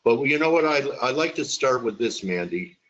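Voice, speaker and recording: A man's voice: medium pitch, lively intonation, moderate pace, fairly bright tone, muffled recording. A man hosting a webinar interview, chatty and full of uhs and you-knows as he sets up his questions.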